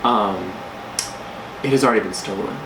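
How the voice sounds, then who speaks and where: A man playing a shady professional thief applying for a job, talking low and mock-formal. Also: normal speed, close to an everyday voice. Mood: sly. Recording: roomy with background noise.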